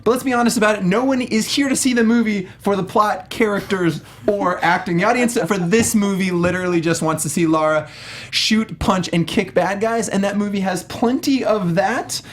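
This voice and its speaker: A man co-hosting a panel show with casual, wordy, excitable comic banter. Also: high-pitched, moderate pace.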